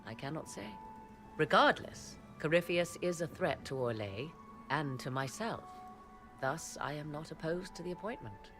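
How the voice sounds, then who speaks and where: A woman's voice: low-pitched, expressive, normal speed, fairly bright tone, faint music behind. A woman voicing an aloof, sardonic apostate sorceress in a fantasy video game, with arch, theatrical, archaic phrasing. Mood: cool, detached.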